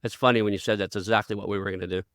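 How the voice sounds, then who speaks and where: A man's voice: on the low side, fairly even intonation, very fast, fairly bright tone, dry recording, clean studio sound. A middle-aged man from Oklahoma with an Oklahoma accent, hosting a podcast interview in a rambling, folksy way. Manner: rambling, folksy, restarts often. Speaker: podcast host. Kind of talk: conversational interview.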